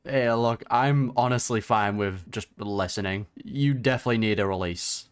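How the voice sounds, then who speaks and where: A man's voice: fairly low pitch, moderate pace, clear, resonant tone. A man giving casual reaction commentary on a video game, conversational and sympathetic in manner. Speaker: gaming reaction streamer.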